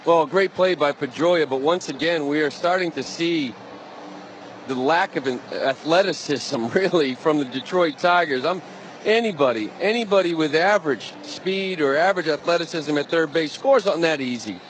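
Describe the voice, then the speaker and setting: A man's voice: on the high side, normal speed. A man giving conversational baseball analysis as a color commentator, speaking emphatically and repeating words as he makes his point. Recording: broadcast with faint stadium noise.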